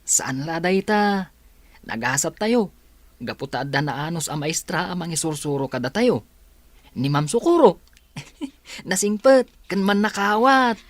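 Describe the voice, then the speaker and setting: A good-natured young schoolboy in a radio drama. The voice is unhurried and swoops in pitch, with an Ilocano Filipino accent and a dry sound. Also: female voice, lively intonation, dry recording. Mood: good-natured, grateful.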